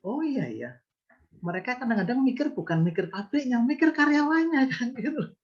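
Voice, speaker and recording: A man's voice: extremely high pitch, big pitch swings, normal speed. Man, 50s, earnest, talks through his reasoning, dull lo-fi sound. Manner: earnest, explains with rhetorical questions. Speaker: business owner guest. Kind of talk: reflective interview talk.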